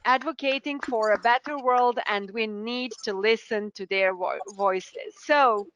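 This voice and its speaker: A middle-aged woman from Serbia with a Serbian accent, formally presenting an award ceremony as a debate teacher in a courteous, deliberate way. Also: sits high, sharp tone, clear, resonant tone, low-quality recording.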